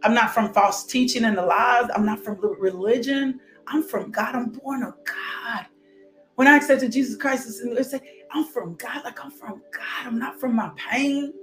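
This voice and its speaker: A woman preacher delivering a fervent sermon, repeating declarations, breaking into high whoops and dropping to hushed prayer. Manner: exclamatory, with sudden high whoops. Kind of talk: fervent sermon with altar call and blessing.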